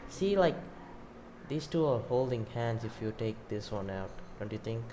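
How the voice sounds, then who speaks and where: A man's voice: mid-range voice, lively intonation, moderate pace, background noise. A man giving casual, offhand gameplay commentary, half talking to himself. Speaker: gaming YouTuber. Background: loud game music.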